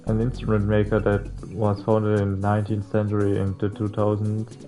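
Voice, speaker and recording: A man's voice: fairly low pitch, completely flat delivery, normal speed, mellow. A man doing a casual, informal game playthrough, reading text aloud with stumbles and some swearing and adding his own comments. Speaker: let's player reading a visual novel aloud. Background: game music.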